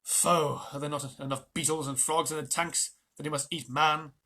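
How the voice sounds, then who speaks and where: A reader voicing a proud father wolf from a storybook, loud, angry and commanding. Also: medium pitch, steady tone, normal speed. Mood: scornful. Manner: angry and commanding, old-fashioned speech. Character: proud, fierce male wolf, a protective father. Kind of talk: storybook reading.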